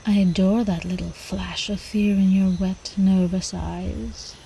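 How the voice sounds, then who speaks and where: A woman voicing an ancient, seductive vampire countess in an intimate ASMR roleplay, teasing and cooing with quiet menace, often slipping into whispers. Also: mid-range voice, level tone, unhurried, vocal fry, slight background noise, low-quality recording. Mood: hungry, amused.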